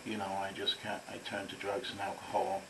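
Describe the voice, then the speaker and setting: A man's voice: low voice, monotone, brisk. A man from London with a London English accent, giving a casual personal account as an interview guest. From London, England. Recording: noticeable background noise.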